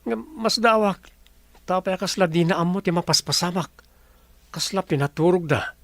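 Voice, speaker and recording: A man's voice: high-pitched, normal speed, a bit bright, no echo. A man playing a troubled, brusque boss in a radio drama. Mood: troubled, remorseful. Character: troubled, brusque male boss with a guilty conscience.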